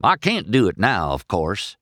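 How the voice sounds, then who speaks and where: A man's voice: on the low side, wildly swooping pitch, unhurried, a bit bright, dry recording, studio quality, heavily acted. A man voicing a friendly, boastful, simple tow truck in a children's story, with a folksy, rambling manner. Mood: cheerful, boastful.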